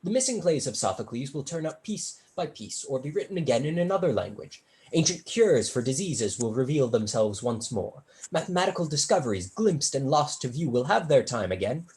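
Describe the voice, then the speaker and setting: A performer playing a witty young male tutor in a staged period play, speaking in a dry, measured, articulate way. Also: medium pitch, sing-song, a little mellow. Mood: wry, philosophical.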